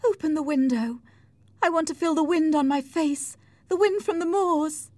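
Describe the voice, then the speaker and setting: A woman's voice: sits high, normal speed, a little mellow, no echo, voice pushed up, close to an everyday voice. A woman voicing a feverish, self-pitying and defiant young wife on her deathbed in an audiobook, with plaintive, melodramatic delivery. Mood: terrified, pleading. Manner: melodramatic, wailing. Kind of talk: audiobook character acting.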